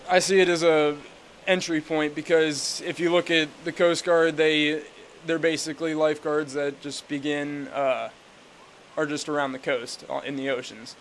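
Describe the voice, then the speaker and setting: A man's voice: a little high, little pitch movement, moderate pace. An eighteen-year-old man speaking casually in a recorded vox pop interview. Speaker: lifeguard. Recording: faint ambient noise on location.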